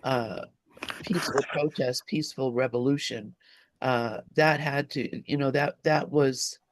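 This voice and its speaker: A man speaking reflectively and casually in a group discussion, halting and repeating himself as he gropes for words. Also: medium pitch, fairly even intonation, dry recording, rough recording.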